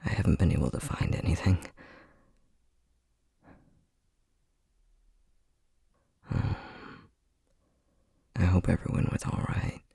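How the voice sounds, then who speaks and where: A man playing a flustered, tender young boyfriend in an ASMR roleplay, soft-spoken and breathy, hesitating and stammering as he talks. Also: low-pitched, fairly even intonation, moderate pace, no room sound, studio quality, full character voice. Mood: uneasy, guilty. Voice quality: breathy, husky. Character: flustered, tender young boyfriend newly discovering magic powers.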